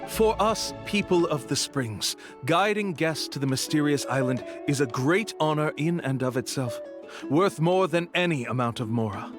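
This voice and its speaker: Man playing a slick, high-voiced tour guide. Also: expressive, normal speed, close to an everyday voice. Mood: reassuring, smooth. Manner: formal, grandiose salesman patter. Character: slick male tour guide, polite, persuasive con artist. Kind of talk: anime game voice acting. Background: game music.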